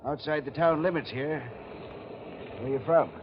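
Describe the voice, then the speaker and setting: An actor in a 1940s radio drama playing a burly small-town sheriff asking casual, probing questions, on a dull, noisy recording. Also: male voice, a little high, normal speed, natural voice. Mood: casually probing.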